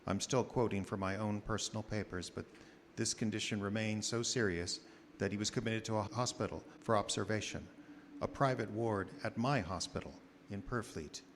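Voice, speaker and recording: An actor playing an earnest, formal gentleman doctor in deliberate radio drama style. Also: lowish voice, natural voice. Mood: formal, grave. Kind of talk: scripted radio drama narration. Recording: reverberant theater with faint background noise.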